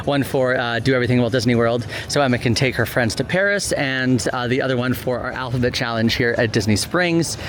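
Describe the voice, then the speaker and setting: A man's voice: medium pitch, brisk, few pauses, noisy background. A man hosting a vlog outdoors, explaining things casually to the camera. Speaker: vlog host. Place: outdoors at a shopping and dining district. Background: music, outdoor ambience.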